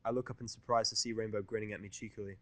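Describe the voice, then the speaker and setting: A man's voice: fairly low pitch, fairly even intonation, very fast, fairly bright tone, dry recording. A man reading scripted first-person fiction in a wry, informal way. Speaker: audiobook-style fan fiction narrator.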